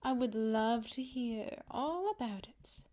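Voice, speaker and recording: A woman's voice: a little high, expressive, takes it slowly, dark tone. A woman playing a gracious, ambitious, secretive duchess in an ASMR roleplay, with a refined, courtly way of speaking. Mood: curious, amused.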